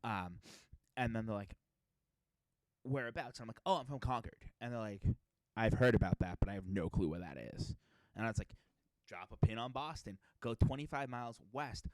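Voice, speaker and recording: A young man from Massachusetts with a lowish voice, co-hosting a casual podcast. He rambles through stories with quick asides and pokes fun at himself. The recording is close and dry, with no room sound. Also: animated, dry recording. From Massachusetts, USA. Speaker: podcast co-host. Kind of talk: casual chat.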